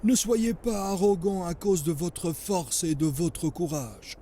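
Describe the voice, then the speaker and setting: An actor playing a pious, solemn sheikh in a French cartoon, preaching in a grave, measured, husky voice. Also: male voice, sits high, warm tone, a little hiss or hum. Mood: grave warning. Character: pious, solemn sheikh teaching about the prophet.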